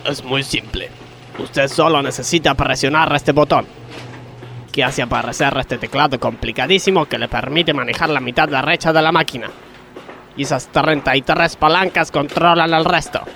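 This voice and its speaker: An actor playing a cheeky male factory worker in a radio comedy, deadpan, with an Argentine accent. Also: on the high side, normal speed, creaky, a little hiss or hum. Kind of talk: comedic radio theatre acting.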